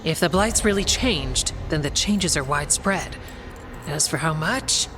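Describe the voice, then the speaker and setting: An actress playing a composed, grave scout in a fantasy video game, speaking in a measured, matter-of-fact way. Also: female voice, low voice, lively intonation, over music.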